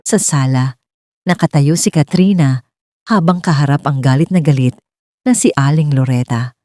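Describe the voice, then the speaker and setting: A woman's voice: deep voice, moderate pace, dark tone, no room sound. A woman narrating a scripted romance story in a dramatic storytelling style.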